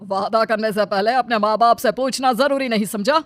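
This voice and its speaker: A woman's voice: fairly high pitch, normal speed, a bit bright, close to an everyday voice. A woman voicing a stern middle-aged father in a storybook tale, interrogating and reproachful. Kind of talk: storybook character dialogue. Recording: background music under the voice.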